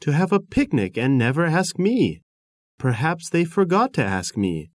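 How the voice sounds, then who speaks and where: A woman's voice: deep voice, big pitch swings, moderate pace, darkish tone, no echo. A woman voicing an idle, sulky teenage boy neighbor in a storybook reading, grumbling to himself. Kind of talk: audiobook character voice.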